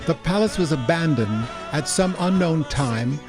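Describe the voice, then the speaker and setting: A man's voice: on the high side, animated. Man narrating a travel show over music. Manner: measured, informative voice-over delivery.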